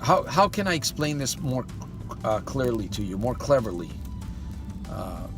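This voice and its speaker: A man's voice: medium pitch, big pitch swings, unhurried, music in the background. A man giving a motivational monologue as a life coach. He keeps firing rhetorical questions at the listener and talks to them in a folksy way, calling them "my friend".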